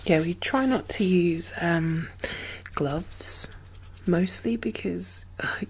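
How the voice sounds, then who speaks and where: A woman playing a gentle, chatty medic in a zombie apocalypse ASMR roleplay, speaking softly and hesitantly with a reassuring manner. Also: on the low side, slow, slight background noise, rough recording. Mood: gentle concern. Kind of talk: soft-spoken, whispered ASMR roleplay.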